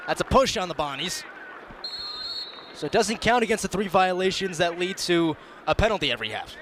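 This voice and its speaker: A man's voice: high-pitched, expressive, brisk. A young man giving emphatic, conversational color commentary during a live sports broadcast. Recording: outdoor broadcast with faint background noise.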